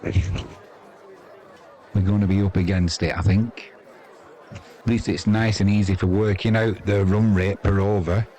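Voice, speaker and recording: Deep-voiced Englishman chatting cricket. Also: steady tone, slow pace, pauses often, mellow. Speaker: live sports stream commentator and host. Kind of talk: casual ad-libbed live cricket commentary and chat. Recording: crowd noise behind the voice.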